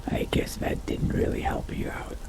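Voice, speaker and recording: A man voicing a brooding, curious young vampire adventurer in a video game roleplay, musing to himself in a slow, hushed, gravelly whisper. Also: close to an everyday voice. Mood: musing, wary. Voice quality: very deep, breathy, gravelly whisper. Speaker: roleplay gamer.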